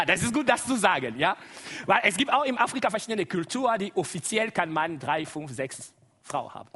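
High, lively Congolese preacher, man. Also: normal speed, husky. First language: Lingala. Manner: lively, exclaims and laughs often, asks the congregation to repeat. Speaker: guest preacher. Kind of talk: sermon.

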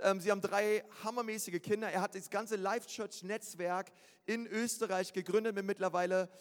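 A man serving as host pastor, excitedly introducing a guest speaker to his congregation. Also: sits high. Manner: excited, hyping the crowd, shouting welcomes. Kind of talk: enthusiastic church announcement. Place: church hall with congregation. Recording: large hall with long reverb.

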